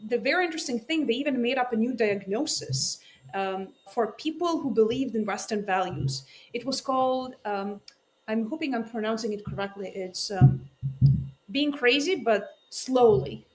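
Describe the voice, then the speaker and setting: A woman's voice: fairly high pitch, expressive, normal speed. A woman from Ukraine with an Eastern European accent, a tech CEO telling her story earnestly on a remote call. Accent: Russian-speaking Eastern European. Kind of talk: interview storytelling.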